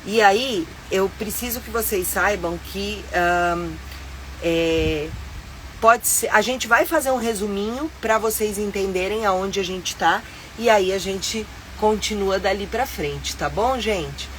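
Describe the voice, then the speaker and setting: Brazilian woman, middle-aged, a bit nasal from a cold, chatty and upbeat live host, medium pitch, faint hum of a vehicle behind her. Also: slow, rough recording. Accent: Brazilian Portuguese. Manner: chatty and upbeat, with frequent fillers. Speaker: live-stream host and tour guide. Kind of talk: casual live-stream chat. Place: inside her motorhome.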